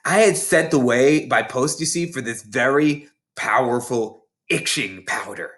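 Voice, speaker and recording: A man's voice: medium pitch, a little slow, fairly bright tone, lightly acted. A man voicing a boastful, battle-hardened schoolgirl prankster who tells dramatic war stories from a children's storybook. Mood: sly, boastful.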